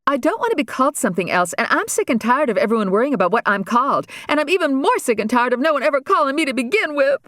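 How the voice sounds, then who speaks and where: A spoiled teenage girl whines and rants in a high, shrill voice that swings all over the place, as a woman reads her. She sounds melodramatic and put-upon. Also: big pitch swings, quick pace, few pauses, a bit bright, dry recording, clean studio sound. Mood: complaining. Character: bratty whining teenage governor's daughter.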